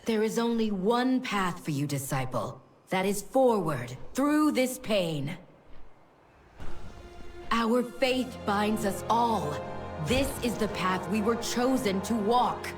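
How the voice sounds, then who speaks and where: A woman voicing a devout winged mentor in a video game, speaking with measured, ritual solemnity. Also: medium pitch, lively intonation. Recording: slightly distant, faint music behind.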